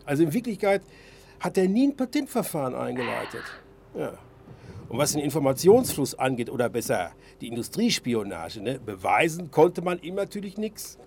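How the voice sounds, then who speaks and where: A man's voice: fairly high pitch, big pitch swings, moderate pace, gravelly, a little mellow, a little hiss or hum. A man playing a dogged, sardonic amateur investigator in a naturalistic radio drama, colloquial and hesitant. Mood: bitter, sardonic. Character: dogged, sardonic ex-surveyor turned driver, investigating on his own.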